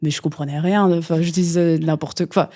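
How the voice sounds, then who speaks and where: A woman's voice: sits low, fairly even intonation, normal speed, mellow. A woman, a guest musician talking in a casual interview, rambling with many fillers and restarts. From Belgium.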